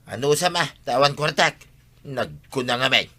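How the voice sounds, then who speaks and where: An Ilocano village man in a radio comedy drama. His voice is forceful and bright, at an ordinary male pitch, made for arguing with the neighbours. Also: expressive, normal speed. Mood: insistent.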